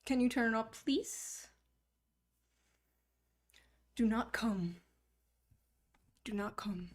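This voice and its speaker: A woman in her thirties chatting casually on a livestream, teasing her viewers, swearing freely and laughing often. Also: on the high side. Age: 30s. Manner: teasing, profane, quick to laugh. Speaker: streamer.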